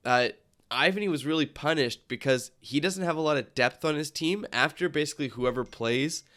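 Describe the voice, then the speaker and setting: A man's voice: mid-range voice, a bit bright. Congested man hosting a sports podcast. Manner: rambling, lots of fillers and restarts. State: congested from a cold. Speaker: podcast host. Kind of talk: casual sports podcast talk.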